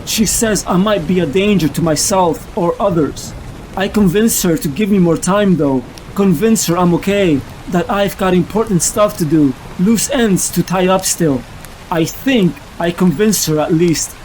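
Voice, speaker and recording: A tormented vigilante played by a man narrates in a high-set male voice. He is sick and losing his grip, confessing it all in a brooding, dramatic comic-book monologue. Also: a little slow, darkish tone, a little hiss or hum, poor audio. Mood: brooding, troubled.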